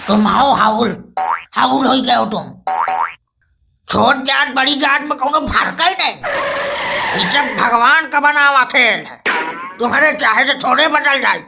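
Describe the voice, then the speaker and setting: Woman playing a creaky, high-pitched stubborn old grandfather. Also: measured pace, pauses often, music in the background. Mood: angry scolding. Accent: eastern Uttar Pradesh. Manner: scolding, rustic, emphatic. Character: stubborn, hot-tempered old Brahmin grandfather. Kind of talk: cartoon comedy acting.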